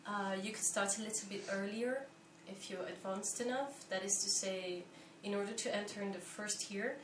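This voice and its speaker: Young woman, echoey room, measured. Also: medium pitch, distant mic. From Belgium. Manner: thoughtful, measured, with frequent pauses and fillers. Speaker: interviewee, volunteer. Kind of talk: explanatory interview answers. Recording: echoey room, faint background noise.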